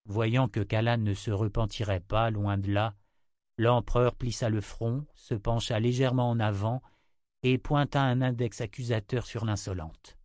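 Measured male audiobook narrator. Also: mid-range voice, fairly even intonation, a little mellow, no echo, clean studio sound. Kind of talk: scripted narration.

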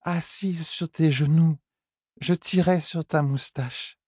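A man's voice: fairly even intonation, slow, warm tone, breathy edge, dry recording, studio quality. A man voicing a tipsy young royal heiress who slurs, sulks and flares up. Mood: drunk, petulant. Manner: high, slurred pleading and indignant outbursts. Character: drunk young royal heiress, petulant and tearful.

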